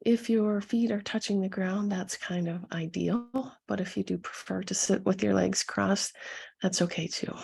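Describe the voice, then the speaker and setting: A woman leading a guided meditation as a coach, speaking softly and soothingly to her listeners with a gentle, husky delivery. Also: mid-range voice, fairly even intonation. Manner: soothing, gentle, unhurried instruction with pauses.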